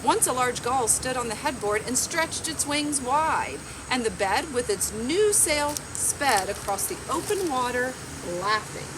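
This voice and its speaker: A woman around forty reading a picture book aloud in a measured, expressive storytelling way. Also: high-pitched. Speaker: library storytime reader. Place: outdoors on a garden bench. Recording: outdoor background noise with insects.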